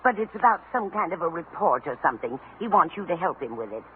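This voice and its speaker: A woman's voice: fairly low pitch, very animated, quick pace. Chatty elderly landlady, swooping voice. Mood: chatty, kindly. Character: kindly, scatterbrained elderly landlady. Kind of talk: 1950s radio comedy acting. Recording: noisy muffled old radio recording.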